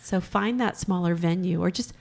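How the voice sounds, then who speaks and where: A raspy middle-aged woman with a low, gravelly voice, co-hosting a podcast. She chats frankly and laughs easily, and her voice sounds slightly hoarse. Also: moderate pace, darkish tone. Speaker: podcast co-host. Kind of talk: casual chat.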